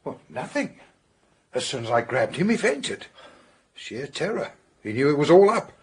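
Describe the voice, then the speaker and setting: An actor playing a sober, sceptical police detective in a British radio mystery, with a British accent and a brisk, questioning manner. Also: male voice, fairly high pitch, big pitch swings, a little hiss or hum. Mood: brisk, matter-of-fact. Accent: British English. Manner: brisk, questioning, matter-of-fact.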